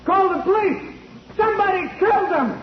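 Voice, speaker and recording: Panicked alarm-raiser, very shrill, 1940s radio acting, echoing muffled recording. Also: male voice, moderate pace. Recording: roomy, muffled, noisy old radio recording.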